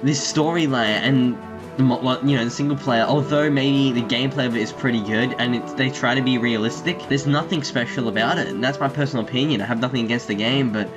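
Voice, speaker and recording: A young man commenting on a game he plays at home, giggling and rambling excitably. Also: medium pitch, fast talker, highs cut off, music in the background. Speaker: gaming YouTuber. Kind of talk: let's play commentary. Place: home room.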